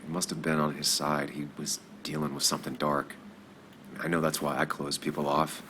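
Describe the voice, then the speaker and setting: Brooding guy in his 30s, really low but bright edgy voice, understated game dialogue. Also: little pitch movement, normal speed, slight background noise. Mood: consoling, somber. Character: brooding, loyal male investigative journalist in his thirties. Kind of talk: naturalistic video game acting.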